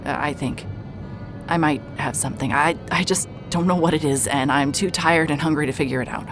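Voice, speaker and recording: An actress playing a hard-hearted, sleep-deprived woman private investigator in a horror audio drama, speaking in a weary, halting way. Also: sits low, fairly even intonation. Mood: halting, flustered. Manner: weary, halting, stammering under stress. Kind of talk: noir-like first-person audio drama narration. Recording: music behind the voice.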